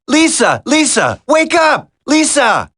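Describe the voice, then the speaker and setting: An urgent young hero from a video game, voiced by a woman. The voice is high and swings widely in pitch as it cries out in alarm. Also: huge pitch swings, slow pace, full character voice. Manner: shouting, urgent.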